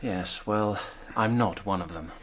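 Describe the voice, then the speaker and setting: An actor playing a hard-up, self-mocking drinker under questioning in a British radio drama, wry and hesitant. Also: male voice, fairly low pitch, a little slow, fairly bright tone, a little hiss or hum.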